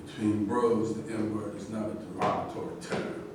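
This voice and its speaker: A man's voice: lowish voice, flat delivery, normal speed, natural voice. Man acting a blunt militant athlete, very echoey. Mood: defiant. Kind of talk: staged play reading. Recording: very echoey room, distant mic, faint noise.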